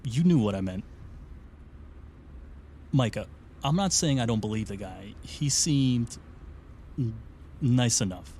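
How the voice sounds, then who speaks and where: Skeptical uneasy man, medium pitch, blunt questioning delivery, realistic audio drama, some ambient noise. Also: lively intonation, takes it slowly, pauses often, warm tone. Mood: uneasy, conceding. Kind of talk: naturalistic audio drama dialogue.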